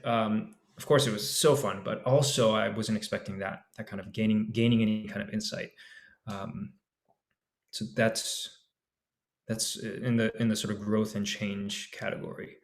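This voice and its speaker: A man, an actor, talking on a discussion panel, reflecting slowly with many restarts and searches for words. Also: on the low side, reverberant. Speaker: actor in the play.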